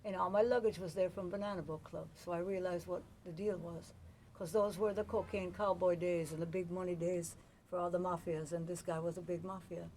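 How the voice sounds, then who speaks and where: A woman in her sixties, raised in the United States and long settled in Jamaica, whose Jamaican-tinged accent colours her chatty, relaxed stories as an interview guest. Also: fairly low pitch, fairly quick, a little hiss or hum, rough recording. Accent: Jamaican-tinged American. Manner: chatty, relaxed, laughs easily. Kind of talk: casual interview storytelling.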